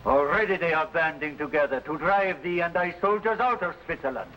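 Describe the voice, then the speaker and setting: An actor playing a proud, defiant captive rebel archer, declaiming in archaic phrases in an old adventure serial. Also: male voice, a little high, a little fast, highs cut off, natural voice. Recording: noisy old broadcast recording.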